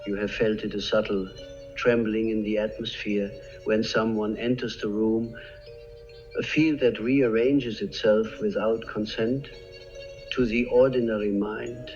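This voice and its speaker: A man narrates in a slow, grave, deliberate cadence, letting long pauses hang between phrases. His voice sits in a middle register and sounds a little dull and lo-fi. Soft music runs quietly underneath him. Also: fairly low pitch, little pitch movement. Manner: slow, solemn, measured pauses. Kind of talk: scripted narration. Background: faint background music.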